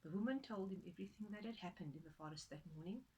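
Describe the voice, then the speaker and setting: A woman's voice: mid-range voice, lively intonation, fast, a little mellow, husky, muffled recording. A woman storyteller narrating a folktale for children, dramatic and playful in her delivery.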